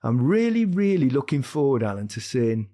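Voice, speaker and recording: A man hosting a casual football podcast, relaxed and chuckling as he leads the talk. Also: mid-range voice, moderate pace. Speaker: host.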